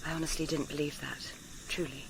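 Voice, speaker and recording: A woman's voice: low-pitched, level tone, gravelly, background noise. An actress playing a confused, hurt and defiant young woman in a radio drama, emotional and earnest. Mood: guarded, confused. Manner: emotional, earnest, quick to flare.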